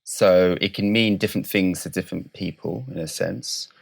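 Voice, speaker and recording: A man's voice: deep voice, vocal fry. A man speaking as a scientist guest in an interview, explaining carefully and precisely, step by step. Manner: measured, precise, qualifying definitions step by step.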